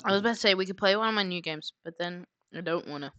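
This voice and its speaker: A woman's voice: on the low side, very fast, a bit bright, dry recording. A woman livestreamer chatting casually and rambling, half muttering to herself, close to a streaming mic. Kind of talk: casual livestream chat while drawing.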